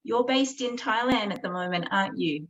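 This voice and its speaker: A woman's voice: mid-range voice, expressive, normal speed. A woman hosting an online event with polite, gracious thanks, speaking over a video call. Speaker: MC and host of an online Buddhist event. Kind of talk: warm hosting with live chat shout-outs. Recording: dull, lo-fi online stream audio.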